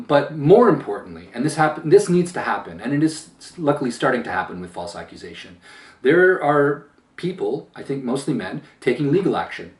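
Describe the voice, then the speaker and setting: A man with a powerful, mid-pitched voice speaks his mind in a measured monologue, slowing down to lean on key words. His voice grows loud and forceful as he presses a point. It rings in a roomy space, picked up from some distance away from the mic. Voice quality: powerful voice that gets loud when upset. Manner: emphatic, slow deliberate phrasing with pauses. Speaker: channel host. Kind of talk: opinion commentary monologue.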